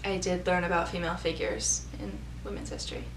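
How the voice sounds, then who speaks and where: A woman's voice: fairly low pitch, lively intonation, fairly quick. A woman in her twenties answers in a matter-of-fact way. She sits back from the mic, so her voice sounds distant and echoey. A faint hum sits behind her. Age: 20s. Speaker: interviewee. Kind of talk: casual interview answers.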